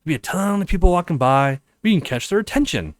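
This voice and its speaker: A man's voice: high-pitched, animated, fast talker, no room sound, voice pushed up. A man voicing an earnest, reassuring young male college student in a visual novel, coaxing and confident.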